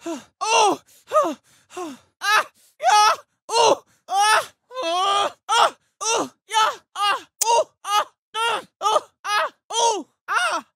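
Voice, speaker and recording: A man's voice: extremely high pitch, animated, drawn-out words, sharp tone, no room sound, clean studio sound, heavily acted. A man voicing an anxious, awkward fourteen-year-old cartoon boy for a video game, with a cracking adolescent voice and a hesitant, stammering delivery. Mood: screaming, panicked. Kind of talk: video game character voice lines.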